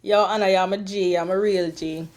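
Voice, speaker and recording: An exuberant, playful woman with a Jamaican accent in casual, excited chat. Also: mid-range voice, normal speed.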